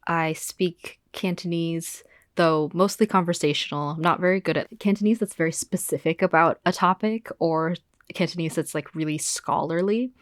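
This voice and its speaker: A woman's voice: on the low side, normal speed, no echo. A young woman from Hong Kong co-hosting a casual podcast, joking in a dry way. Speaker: podcast co-host. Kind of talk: casual chat.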